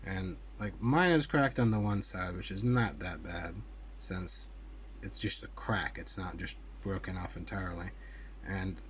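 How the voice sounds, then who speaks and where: A man giving a casual hands-on toy review in a rambling, hesitant, conversational way. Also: lowish voice. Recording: clear steady background noise.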